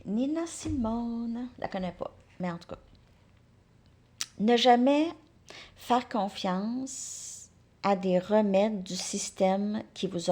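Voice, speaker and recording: A woman giving a casual tarot card reading. She thinks aloud, repeats words and drops into soft asides. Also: mid-range voice.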